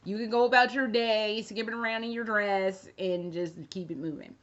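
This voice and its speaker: Woman in her thirties vlogging, loose and profane, mid-pitched voice, somewhat muffled lo-fi sound. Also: steady tone, moderate pace. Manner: rambling, profane, with jokey asides. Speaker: fashion vlogger. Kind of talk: casual chat to camera. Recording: dull lo-fi recording.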